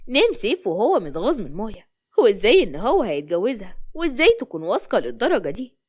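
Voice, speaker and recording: Lively, fast-talking Egyptian woman storyteller. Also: mid-range voice. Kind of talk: scripted dramatic story narration.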